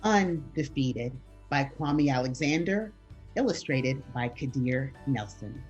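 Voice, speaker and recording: A woman reading a picture book aloud as a guest reader, with measured, deliberate phrasing. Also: extremely low pitch, lively intonation, darkish tone, highs cut off. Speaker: school principal, guest reader. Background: background music.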